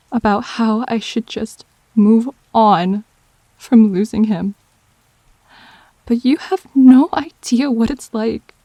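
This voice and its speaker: A woman acting in an emotional audio drama as a grieving, defensive girlfriend, speaking in halting, wounded phrases with pauses. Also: mid-range voice, dark tone, no echo, low-quality recording.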